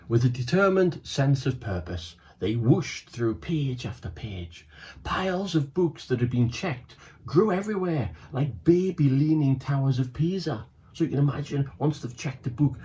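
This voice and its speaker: Guy reading a kids book, super animated, voice all over the place, chatty asides. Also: mid-range voice. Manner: lively and animated, with asides to listeners. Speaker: author reading his book. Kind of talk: children's storybook reading.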